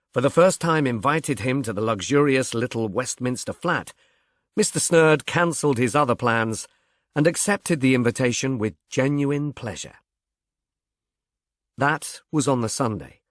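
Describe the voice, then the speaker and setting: A man reading literary narration in a measured, formal, gently wry manner. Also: medium pitch, dry recording, studio quality. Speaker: audiobook narrator.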